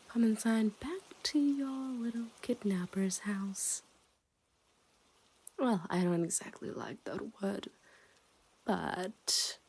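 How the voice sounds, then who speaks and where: Yandere kidnapper for ASMR roleplay, female performer: unhurried, possessive, smugly teasing, intimate, with frequent drops to a whisper. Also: medium pitch, mellow. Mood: coaxing. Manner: slow, teasing, often dropping into whispers.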